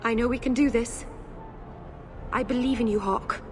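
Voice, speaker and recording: Woman playing a high-voiced, earnest young companion. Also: steady tone, normal speed, a little hiss or hum, natural voice. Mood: hopeful. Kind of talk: fantasy video game voice acting.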